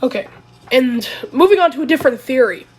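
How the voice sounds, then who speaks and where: A woman who is sick and raspy, talking through a casual commentary video in a rambling, hesitant way and often correcting herself. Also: high voice, lively intonation, fairly quick, darkish tone, low-quality recording. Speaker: video creator.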